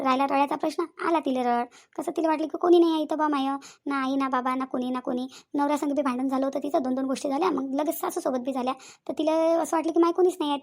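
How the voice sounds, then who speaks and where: Woman playing high, fast-talking village peacemaker auntie. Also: darkish tone. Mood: calming, insistent. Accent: Varhadi Marathi. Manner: talkative, reassuring, repetitive persuasion. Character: middle-aged village matriarch, practical, placating family peacemaker. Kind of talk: comedy cartoon dialogue.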